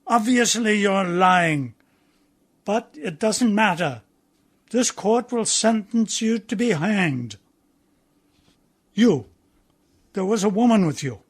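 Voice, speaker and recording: Male actor as naval officer, radio drama, stern, pompous, slow delivery, raspy edge. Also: high voice, lively intonation, heavily acted. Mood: stern, commanding. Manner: clipped, commanding, formal questioning. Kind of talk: radio play acting.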